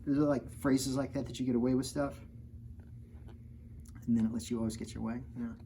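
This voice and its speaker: A man's voice: mid-range voice, fairly even intonation, a little fast, vocal fry, warm tone, a little hiss or hum. A man reading a picture book aloud to young children as its author, with joking, informal asides spoken straight to them.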